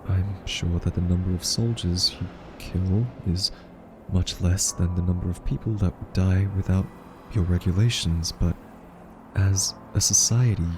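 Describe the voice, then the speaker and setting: A man playing an anxious, soft-spoken scientist who reasons haltingly and earnestly with a listener in an audio roleplay. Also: deep voice, fairly even intonation, over music, natural voice, rough recording. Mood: careful, pleading.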